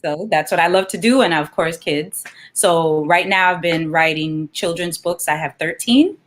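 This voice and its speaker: A woman's voice: fairly low pitch, normal speed. A woman speaking informally as a guest children's author and librarian, presenting a read-aloud for kids.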